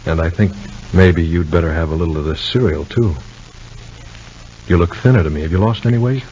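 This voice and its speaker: An actor playing a calm, gently bossy man who soothes and coaxes in a 1950s radio soap opera. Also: low-pitched, brisk, lightly acted. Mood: coaxing, teasing. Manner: soothing, coaxing, conversational. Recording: noisy, dull vintage radio recording.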